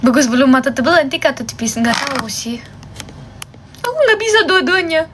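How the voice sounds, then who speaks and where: A woman's voice: high voice, lively intonation, a little fast, fairly bright tone, slight background noise. A woman from Germany, chatting casually and talkatively to viewers as a livestream host. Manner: chatty, switches between languages. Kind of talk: casual chat with viewers while doing makeup.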